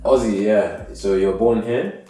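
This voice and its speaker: A man hosting a casual football interview, relaxed and chatty, often saying "yeah, yeah". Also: lowish voice, unhurried, music in the background. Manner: relaxed, constant "yeah, yeah" backchannel. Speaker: podcast host. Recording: roomy sound from a distant mic.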